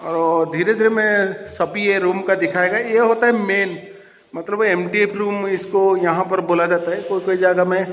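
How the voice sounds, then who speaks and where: High-voiced man touring a server room. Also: steady tone, moderate pace, fairly bright tone, big-room sound, dull audio. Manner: explanatory, pointing things out step by step. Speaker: cable tray installer showing his work. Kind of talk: casual walkthrough vlog. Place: large indoor server room under construction. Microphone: handheld phone camera.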